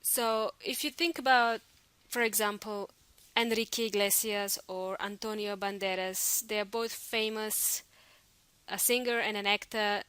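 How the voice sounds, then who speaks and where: Bright-voiced Spanish-accented woman teacher. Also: medium pitch, fairly even intonation, unhurried, clear, resonant tone, no echo. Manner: chatty and encouraging, loose unscripted delivery with fillers and restarts. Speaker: English teacher. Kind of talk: podcast lesson episode.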